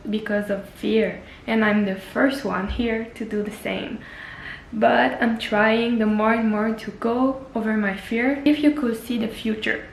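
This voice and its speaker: A woman's voice: fairly high pitch, echoey room, a little hiss or hum, rough recording. A young woman whose first language is French, chatting earnestly in a personal travel vlog, often restarting her sentences. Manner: earnest, many restarts and fillers. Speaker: travel vlogger. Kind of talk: casual personal vlog talk.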